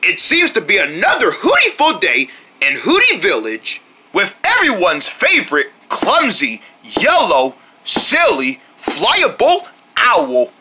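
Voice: mid-range voice, huge pitch swings, takes it slowly, background noise. A performer playing a friendly, concerned cartoon narrator who chats with the characters in a folksy way. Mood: jolly.

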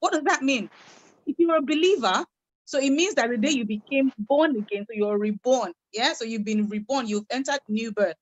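A woman's voice: on the high side, moderate pace, highs cut off. A woman in her thirties on a video call, speaking conversationally in a panel and stressing points with rhetorical questions. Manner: emphatic, asks rhetorical questions. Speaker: panelist at a church youth congress. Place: room at home, video call.

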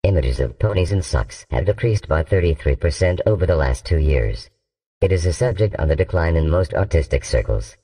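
A man voicing an emotionless, courteous male artificial intelligence in an acted audiobook, measured and formal. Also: deep voice, animated, mellow, far from the mic, voice pushed down, low-quality recording. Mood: calm, emotionless.

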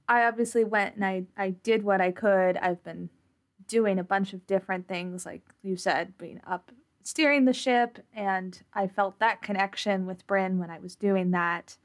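A woman playing a tabletop game, casually and haltingly explaining what her character is thinking. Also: mid-range voice.

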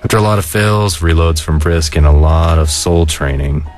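A man voicing a laid-back, weary and caring skeleton older brother in an animated comic dub, laconic and casual. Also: moderate pace, soft background music. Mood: relaxed explaining. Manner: laconic, casual, low-key.

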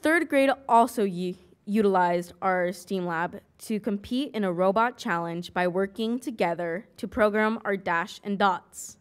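A young student council president reading a prepared school report aloud at a desk microphone in a large meeting hall. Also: female voice, medium pitch, unhurried, few pauses, clear, resonant tone. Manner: reads aloud from a script, even and careful.

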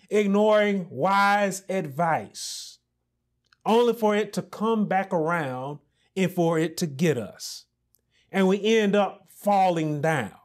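A man in his thirties preaching a sermon as pastor, building to emphatic repeated lines with deliberate pauses, recorded on a lapel mic in a small church room. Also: high voice. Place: small indoor church office set with pulpit.

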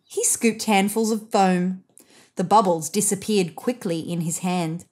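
A woman narrating a children's picture book expressively, with deliberate emphasis on key phrases. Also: mid-range voice, darkish tone.

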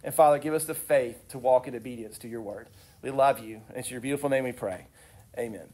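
A man's voice: mid-range voice, fairly quick, warm tone. A young adult man with a cold-congested voice, preaching and praying in an earnest, conversational way, often restarting his sentences. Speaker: youth speaker. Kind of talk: sermon with prayer.

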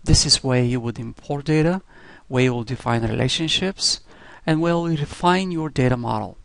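Man narrating tutorial, gravelly voice. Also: mid-range voice, moderate pace, a bit bright, no echo. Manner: instructional, step-by-step. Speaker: software instructor. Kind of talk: scripted screencast tutorial narration.

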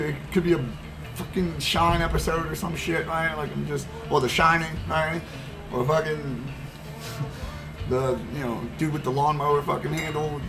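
A young man with a slightly high voice rambles through a story, swearing freely and piling up fillers as he goes. He speaks into a headset at his desk. Guitar music plays clearly under his voice. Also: rough recording. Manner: profane, rambling, animated storyteller with many fillers. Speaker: streamer. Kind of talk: casual storytelling while gaming. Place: indoor room at gaming desk. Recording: background guitar music playing.

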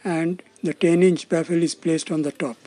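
A man's voice: on the high side, fairly even intonation, moderate pace, mellow, faint music behind. A man giving scripted, step-by-step narration as the presenter of a technical demonstration. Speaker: presenter of a loudspeaker testing demonstration.

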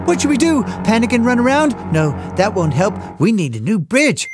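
A woman narrating a children's cartoon in a playful, animated way, with chatty asides to her young listeners. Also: on the high side, moderate pace. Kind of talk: playful scripted narration for toddlers. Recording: music behind the voice.

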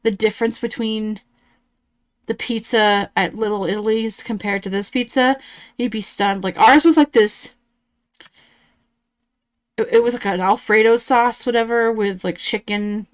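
A woman's voice: on the high side, steady tone, a little slow, pauses often, clear, resonant tone. A woman in her thirties giving casual reaction commentary in a blunt, sarcastic and profane way. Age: 30s.

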